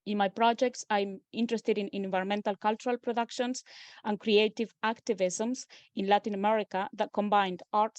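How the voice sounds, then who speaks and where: A woman's voice: mid-range voice, a little mellow, no echo. Argentinian woman giving an academic lecture. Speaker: guest speaker. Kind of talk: academic lecture over video call.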